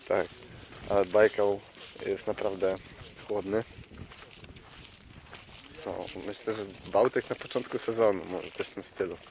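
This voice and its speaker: A man with a fairly low voice talks off the cuff for a travel vlog. His delivery is casual and conversational. The outdoor recording has clear noise behind him. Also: steady tone, measured pace, long pauses, darkish tone. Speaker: travel vlogger. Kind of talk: casual vlog chat, unscripted. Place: outdoors by a lake. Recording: noisy outdoor recording with clicks.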